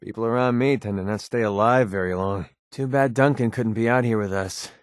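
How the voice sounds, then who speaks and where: A man's voice: on the low side, brisk, no echo, studio quality, natural voice. A man voicing a young, wisecracking mercenary companion in a video game, with an American accent and an informal, sardonic delivery. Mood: upbeat, friendly.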